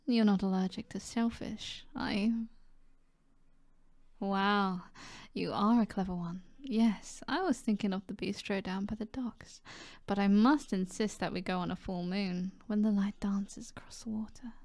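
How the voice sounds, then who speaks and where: A woman with a British accent voicing a seductive, self-assured mob boss in an ASMR roleplay, soft and flirtatious, often dropping to a whisper. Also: medium pitch, fairly even intonation, dry recording, natural voice. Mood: flirtatious, playful. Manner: flirtatious, teasing, unhurried.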